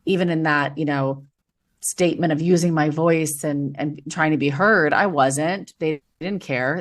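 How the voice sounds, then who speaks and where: A woman guest on a podcast, a former teacher, speaking bluntly and emphatically in casual conversation. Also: sits low.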